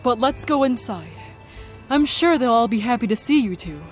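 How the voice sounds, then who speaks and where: A woman playing a weary, worried but courteous camp survivor in an audio drama, gentle and sombre in manner. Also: a little high, lively intonation, normal speed, soft background music, natural voice. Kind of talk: audio drama acting, anime fan parody.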